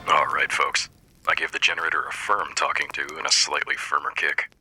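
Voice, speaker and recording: A man playing a wry, easygoing radio host in a post-apocalyptic wasteland, delivering scripted monologue with deadpan, folksy humour. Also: a bass voice, a little fast, over music. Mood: wry, upbeat.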